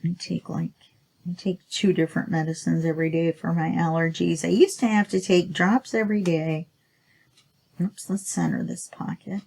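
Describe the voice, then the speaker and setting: A woman's voice: on the low side, steady tone, normal speed, vocal fry, a little mellow. A woman with a stuffy nose, talking to herself while she demonstrates a craft project in a casual tutorial. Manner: chatty, self-talking while working, sniffly. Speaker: crafting channel host.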